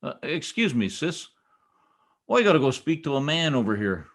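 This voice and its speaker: A man's voice: a little high, big pitch swings, moderate pace, a bit bright, exaggerated voice. A man playing a boastful, bumbling, long-winded husband in folksy old-time radio comedy style. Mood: brash. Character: blustering, long-winded, self-important husband, a tall-tale teller. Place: at home on a video call.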